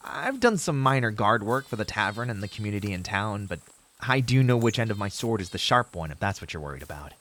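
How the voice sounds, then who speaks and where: Novice fantasy hero, played by a man: young, male, slightly low pitch, expressive melody, earnest and idealistic, gallant wording with hesitant asides, audio roleplay. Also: fast. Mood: friendly, modest. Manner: formal, heroic storybook phrasing with hesitant asides. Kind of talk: fantasy audio roleplay acting, speaking to a companion.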